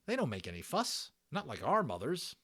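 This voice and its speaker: A man's voice: medium pitch, huge pitch swings, moderate pace, a bit bright, breathy edge, pitched above the performer's own voice, heavily acted. A man voicing an earnest, caring young English boy in a storybook, with a breathless, sincere manner.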